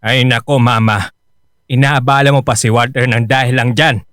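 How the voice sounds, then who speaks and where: A man's voice: medium pitch, fairly even intonation, moderate pace, fairly bright tone, clear, resonant tone, no echo, studio quality, natural voice. An actor playing a middle-aged Filipino father in a radio drama, colloquial, grumbling and evasive. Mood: grumbling, casual. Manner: grumbling, colloquial, hesitant when cornered.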